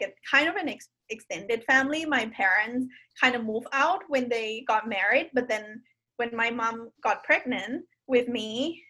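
A woman from Thailand, a podcast guest telling a personal story in casual conversation, pausing often with fillers and restarts. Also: fairly high pitch, pushed and bright, rough recording. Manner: hesitant, many fillers and restarts.

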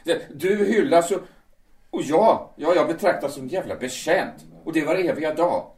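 A man's voice: on the high side, lively intonation, darkish tone, natural voice. A man playing a vengeful, menacing understudy. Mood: bitter fervor. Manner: cold and deliberate, swelling into fervent outbursts. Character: bitter vengeful male understudy, calm and menacing. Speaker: understudy singer in a theatre. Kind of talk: amateur audio drama acting. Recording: roomy, distant mic.

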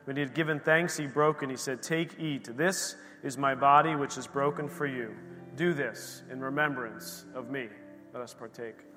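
A man officiating communion, reading scripture quietly and reverently in a church. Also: medium pitch, moderate pace. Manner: quiet, reverent murmurs of assent. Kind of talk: scripture reading and prayerful affirmations. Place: church sanctuary. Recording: long reverb, faint music behind.

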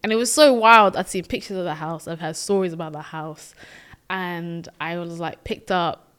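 A woman's voice: lowish voice, normal speed, smooth. A young woman with a British accent, born in Sweden, telling stories in a casual podcast chat and laughing often. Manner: animated storytelling, laughs often. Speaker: podcast co-host.

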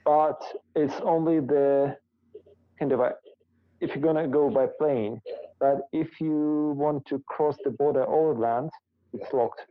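A man from Kazakhstan with a Russian accent, a podcast guest speaking halting English with many fillers. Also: fairly high pitch, fairly even intonation, no room sound, phone-quality sound. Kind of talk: casual interview chat.

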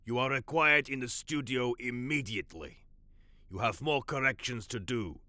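Casting: male actor for officious male overseer, cold and clipped, forceful bright tone, mid pitch, audio drama. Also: moderate pace, no echo. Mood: stern. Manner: clipped, commanding.

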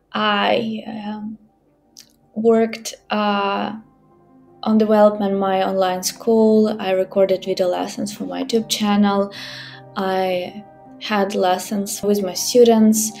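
A young woman from Ukraine, a native Ukrainian speaker, telling her story in English in a halting, careful way with pauses and fillers. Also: medium pitch, vocal fry, a little mellow. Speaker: interviewee, language teacher. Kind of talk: personal account in a podcast interview.

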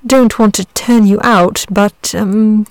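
A woman voicing a big blue storybook dragon, a lazy, vain gentleman with a chatty, fussy, old-fashioned politeness. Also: medium pitch, steady tone, slow pace, no room sound. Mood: confiding, eager. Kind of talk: storybook character voice.

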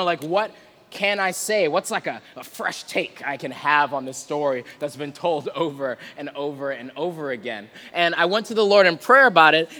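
Male preacher, bright forceful voice, informal and playful delivery, big echoing room. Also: high-pitched. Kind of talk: sermon with casual humour and scripture reading. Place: large reverberant room before a live audience. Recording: long hall reverb.

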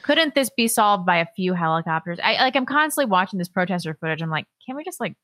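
A woman's voice: mid-range voice, animated, fast, a bit bright. A woman talks casually as co-host of a political livestream. Her sound is close and free of echo. She reads messages aloud and comments in a dry, offhand manner. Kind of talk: casual chat, reading viewer messages aloud.

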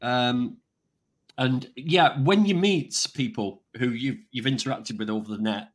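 A man's voice: mid-range voice, unhurried. An older man hosting a casual livestream chat over a video call, rambling with frequent restarts. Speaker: online vegan channel host.

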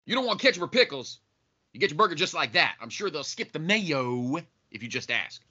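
Casting: a man as a reasoning wrestler, animated raspy voice, reassuring, for audio comedy. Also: fairly high pitch, brisk, full character voice. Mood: helpful. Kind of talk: amateur comedic audio play. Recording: muffled.

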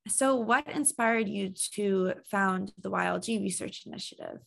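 Creaky-voiced woman interviewer. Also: medium pitch, normal speed, a bit bright. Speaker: interviewer, mental health trainer. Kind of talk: conversational interview hosting.

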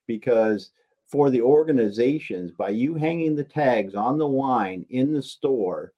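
A man with a very mellow, dark voice at a middling pitch hosts an interview. He talks conversationally, restating and affirming the points made to him. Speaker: interview host.

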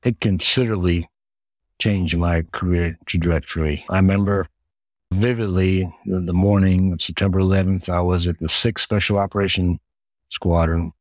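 A man's voice: sits low, fairly even intonation, normal speed, no echo. A middle-aged man, born in the United States with Hungarian as his first language, answering interview questions steadily and listing things with frequent word repeats. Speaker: guest, retired Air Force medic.

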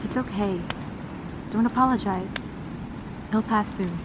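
Clear ambient noise surrounds a woman playing a steady, self-contained survivor in an audio drama. She talks in a matter-of-fact, reassuring way at a medium pitch. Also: measured pace, natural voice. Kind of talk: naturalistic audio drama dialogue.

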